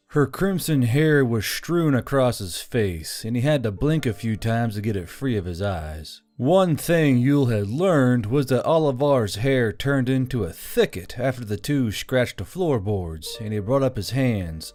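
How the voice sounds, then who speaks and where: A man's voice: medium pitch, a little mellow. A man reading scripted fiction aloud as an audiobook narrator.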